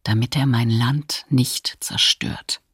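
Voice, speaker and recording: An actress playing a frail but defiant woman in her fifties, reading a diary in a radio docudrama in a breathy, half-whispered voice. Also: very deep voice, steady tone, slow pace, no echo, clean studio sound, heavily acted. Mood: fearful, defiant. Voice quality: breathy, husky, creaky.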